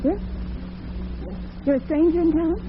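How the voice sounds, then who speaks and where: A woman's voice: extremely high pitch, moderate pace. An actress playing a devoted, worried young working woman in old-time radio drama, earnest and tender. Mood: polite. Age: young adult. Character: devoted young waitress-cashier daughter, worried but brave. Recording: noisy, tinny vintage radio recording.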